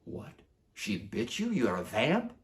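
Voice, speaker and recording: Man voicing a brash, lively werewolf pack recruiter. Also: mid-range voice, takes it slowly, voice pushed up. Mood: incredulous. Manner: slangy, showy, chummy. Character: brash, boastful, streetwise adult male werewolf pack recruiter. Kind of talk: children's book character voice.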